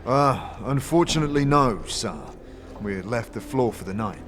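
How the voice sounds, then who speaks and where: A man's voice: medium pitch, animated, moderate pace, a bit bright, natural voice. A man voicing a deferential, plain-spoken armored guard in a fantasy audio drama.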